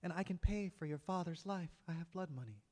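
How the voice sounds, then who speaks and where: A man voicing a panicked young man who stammers and pleads, his voice raised well above his usual pitch. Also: a little fast, a little mellow, natural voice. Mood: panicked pleading. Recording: long hall reverb.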